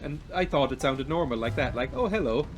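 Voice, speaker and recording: A young man in his twenties with a light, naturally high voice, rambling and laughing at himself while he streams a video game. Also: animated, fairly quick, slight background noise. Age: 20s. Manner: rambling and self-deprecating, laughs often. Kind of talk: casual chat while playing a video game.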